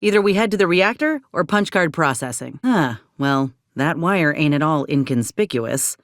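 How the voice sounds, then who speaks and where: A woman's voice: low voice, expressive, moderate pace, no room sound. A woman voicing a tough, wry female soldier and former medic in a video game, with a folksy drawl and dry sarcasm. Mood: wry, businesslike. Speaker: companion character.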